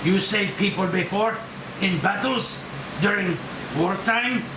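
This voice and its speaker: A man's voice: sits high, slow. A man playing a grieving, remorseful older Japanese doctor of nuclear science in a script table read, speaking solemnly in short weighty phrases. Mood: remorseful, grave. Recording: distant mic, echoey room, steady hiss.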